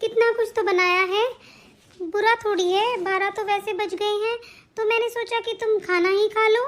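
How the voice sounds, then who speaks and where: Cartoon role: elderly mother-in-law played by a woman, extremely high voice, meek, aggrieved, placating. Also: fairly even intonation, normal speed. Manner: plaintive, complaining, placating. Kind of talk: Hindi family cartoon drama dialogue.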